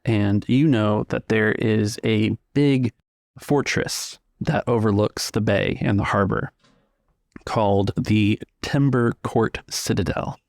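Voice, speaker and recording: A man's voice: lowish voice, steady tone, slow pace, dry recording, studio quality. A man narrating a tabletop roleplaying game as its game master.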